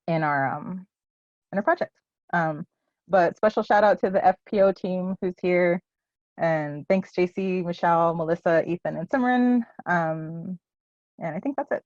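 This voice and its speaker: A woman's voice: lowish voice, darkish tone, no echo, dull audio. A woman giving a casual presentation and answering questions at a virtual symposium, hesitant with many ums and restarts. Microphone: video call.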